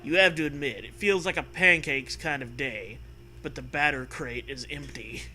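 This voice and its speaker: A man's voice: mid-range voice, moderate pace, sharp tone, a little hiss or hum. A man hosting a comedic read-aloud, delivering a story theatrically and breaking off to riff and joke. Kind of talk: comedic dramatic read-aloud with casual banter.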